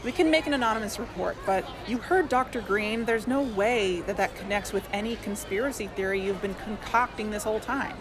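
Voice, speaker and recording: An actress playing an exasperated, level-headed skeptical young investigator in an audio drama. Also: female voice, mid-range voice, brisk, hardly any pauses, close to an everyday voice. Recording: clear noise and crowd bustle. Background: busy outdoor crowd.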